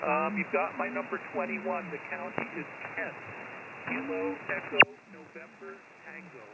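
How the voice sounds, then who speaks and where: A man's voice: fairly high pitch, fairly even intonation, normal speed, vocal fry. A man making a procedural contest exchange as an amateur operator, heard over shortwave radio. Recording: very noisy shortwave radio reception.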